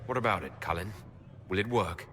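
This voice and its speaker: An actor playing a defiant, decisive heroic leader in a video game, speaking in terse, forceful phrases. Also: male voice, deep voice, brisk, slight background noise. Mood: urgent. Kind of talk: dramatic video game acting.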